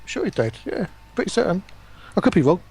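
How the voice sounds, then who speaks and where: A man's voice: high-pitched, big pitch swings, brisk, darkish tone, slightly rough. A man talking casually as he hosts a livestream.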